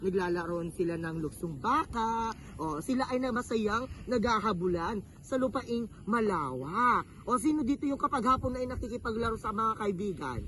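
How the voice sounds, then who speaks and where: A woman's voice: a little high, animated, moderate pace, few pauses, a bit bright. A woman with a Filipino accent telling a story to children in a teacherly, questioning way. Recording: noisy room with clear background noise.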